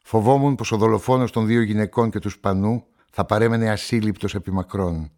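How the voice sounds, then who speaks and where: An actor playing a brooding, lovelorn narrator of a radio crime story, measured and reflective. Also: male voice, on the low side, steady tone, a little mellow, close to an everyday voice. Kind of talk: scripted first-person radio drama narration.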